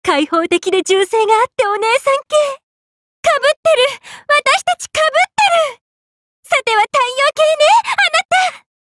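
A woman's voice: very high voice, fairly quick, few pauses, no echo, studio quality. A woman voicing a tall, affectionate big-sisterly sun goddess in an anime game, swinging between bubbly and tender. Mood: excited, playful. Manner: swings between excited exclamations and gentle, tender speech. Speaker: game character. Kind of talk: Japanese anime game voice acting.

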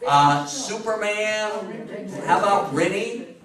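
An American man in his sixties speaks to a small audience like a lively storyteller. His voice sits a little high. He is picked up from a distance in a reverberant meeting room. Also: slow pace, a crowd in the background. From New York State, US. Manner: animated, theatrical storyteller with rhetorical questions. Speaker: lecturer giving a history talk. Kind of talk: interactive public talk to an audience. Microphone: far camera. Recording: echoey room, distant camera microphone.

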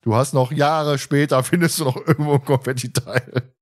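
A man's voice: medium pitch, steady tone, normal speed. Chatty male podcast host. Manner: chatty, often chuckles while telling anecdotes. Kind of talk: casual podcast chat.

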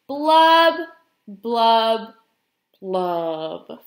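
A woman's voice: on the high side, very animated, very slow, reverberant, exaggerated voice. A woman voicing a gloomy, resigned male fish from a storybook, in mournful singsong rhyme.

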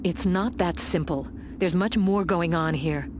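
A woman's voice: fairly low pitch, unhurried, background noise, close to an everyday voice. A woman playing a grave secret agent in a video game, speaking with solemn, earnest gravity. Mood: pleading. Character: grave female secret agent revealing a conspiracy. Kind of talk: video game cutscene acting.

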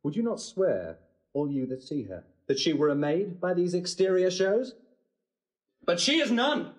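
An actor playing a proud, bitterly wronged young bridegroom, declaiming in classical Shakespearean drama style. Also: male voice, high-pitched, sing-song, measured pace, poor audio. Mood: anguished fury.

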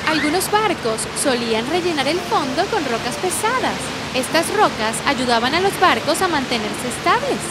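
A woman voicing a bright, take-charge young girl explorer in a children's cartoon, explaining earnestly. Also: sits high, sing-song, moderate pace, few pauses, heavy background noise. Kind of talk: animated children's cartoon dubbing. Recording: loud music behind the voice.

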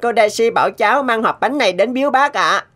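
Earnest cartoon boy, highish pitch. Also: lively intonation, a little fast, fairly bright tone. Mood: eager. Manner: polite, eager. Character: polite, earnest, starstruck young servant boy. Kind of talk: animated cartoon dialogue. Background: music.

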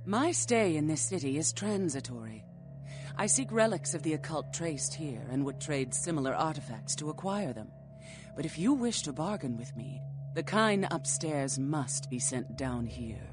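A woman's voice: deep voice, sing-song, moderate pace, over music. A woman playing an ancient flesh-eating vampire in a video game, philosophical and coolly menacing, speaking in cryptic, formal questions. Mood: insistent. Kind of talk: video game character acting.

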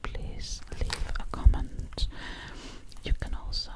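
A woman hosting ASMR in a close, breathy whisper, soothingly repeating her phrases. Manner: slow, soothing repetition of phrases. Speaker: ASMR video host. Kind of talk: ASMR roleplay pampering. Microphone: close microphone.

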